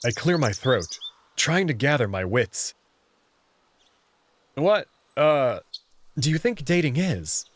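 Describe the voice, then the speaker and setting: A man's voice: mid-range voice, very animated, takes it slowly, long pauses. A man playing a bewildered young human who narrates his own story in a visual novel and speaks hesitantly. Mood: stunned, hesitant. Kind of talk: first-person visual novel narration and dialogue.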